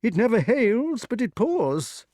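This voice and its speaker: Audiobook role: amiable, muddle-headed elderly knight king, high, blustering and very animated. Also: slow pace, dry recording, studio quality, heavily acted. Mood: flustered. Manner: flustered, exclamatory, blustering upper-class chatter. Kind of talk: audiobook character voice.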